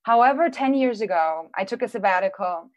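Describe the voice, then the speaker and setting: A woman's voice: mid-range voice, expressive, a little fast, no echo, dull audio. Austrian-born woman presenting over a video call. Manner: thoughtful, with frequent ums and restarts. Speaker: artist giving a live virtual talk. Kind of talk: casual presentation. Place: small room at home. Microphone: earphone headset on a video call.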